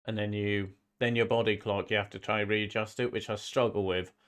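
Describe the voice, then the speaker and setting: A man's voice: lowish voice, level tone, fairly quick, a bit bright, smooth. A man with a slight cold chatting casually as a live-stream host, rambling and often repeating his phrases. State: slight head cold, sniffly. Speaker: streamer hosting a football watch-along.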